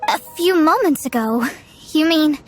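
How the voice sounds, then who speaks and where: A self-assured young woman astrologer with a high voice. She speaks in an articulate, bookish way with haughty, fiery drama, over faint game music. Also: animated, measured pace. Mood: incredulous. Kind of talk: scripted video game voice acting. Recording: music behind.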